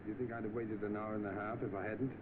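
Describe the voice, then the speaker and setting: An actor playing a bored, spoiled, idle young millionaire, complaining in 1930s film style. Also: male voice, on the low side, little pitch movement, quick pace, narrow, tinny audio, natural voice. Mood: indignant. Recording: thin, noisy vintage film soundtrack.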